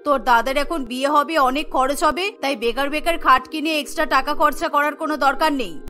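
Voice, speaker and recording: A woman's voice: sits high, steady tone, brisk, sharp tone, faint music behind, voice pushed down. A woman voicing a bossy, thrifty middle-aged mother in a cartoon, scolding and dismissive. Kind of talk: Bengali cartoon acting.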